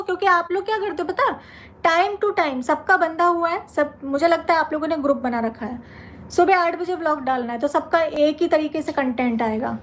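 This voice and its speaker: Very high-pitched, indignant woman. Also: fairly bright tone. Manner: indignant, combative, emphatic repetition. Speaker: vlogger. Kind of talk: confrontational talk to social media viewers.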